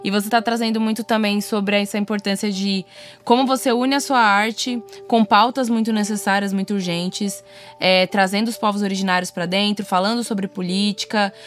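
A woman's voice: mid-range voice, fairly even intonation, moderate pace. A Brazilian woman hosts an interview in a mid-range voice. She puts her questions in a conversational way, with faint music playing behind her. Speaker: interviewer, podcast host.

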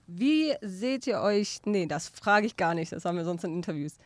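A woman's voice: fairly low pitch, expressive, normal speed, no echo. A woman interviewing musicians, chatty and laughing often as she asks her questions. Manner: chatty, laughs often, switches between German and English.

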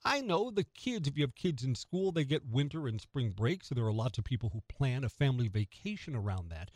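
A man's voice: mid-range voice, sing-song, brisk, darkish tone, dry recording. An American man hosting morning talk radio, delivering a conversational opinion monologue and putting rhetorical questions straight to the listener. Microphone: close studio radio microphone.

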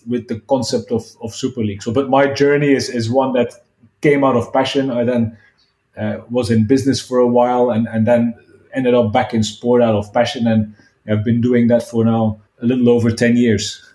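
A man's voice: mid-range voice, flat delivery, mellow. Middle-aged guy from belgium, lots of uhs and restarts, echoey room. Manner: halting, many restarts and fillers. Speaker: guest, sports event founder. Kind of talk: podcast interview. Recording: roomy echo.

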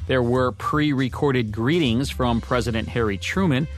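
An American man presents as a radio co-host, delivering scripted narration in a mid-pitched voice. A music bed plays underneath him. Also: normal speed.

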